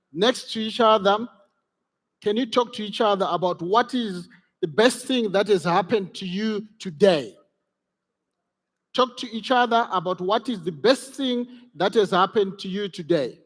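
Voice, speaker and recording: A man's voice: sits high, a little slow. A man facilitating an interactive conference workshop, encouraging the audience and repeating his key phrases. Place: large conference hall. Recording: reverberant conference hall.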